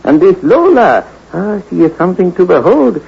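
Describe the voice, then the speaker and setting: An actor playing an eager, chatty hotel clerk in a 1940s radio drama, in broken sing-song English. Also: male voice, high-pitched, big pitch swings, moderate pace, gravelly, breathy edge, heavily acted. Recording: muffled old radio recording.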